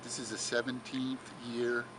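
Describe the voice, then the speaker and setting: A man's voice: medium pitch, flat delivery, moderate pace, poor audio. Older male, mid register, rambling conversational interviewee. Recorded outside, noticeable traffic ambience. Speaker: longtime radio swap meet vendor. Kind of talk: casual interview chat. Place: outdoors at a radio swap meet. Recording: loud outdoor ambience, vehicle noise.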